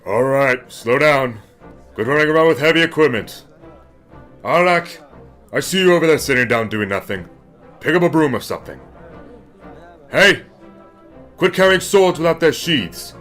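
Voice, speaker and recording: An actor playing a busy, distracted knight captain who gives orders. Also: male voice, on the high side, lively intonation, normal speed, pauses often, fairly bright tone, faint music behind. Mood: commanding. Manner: distracted, commanding. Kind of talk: fan audio drama.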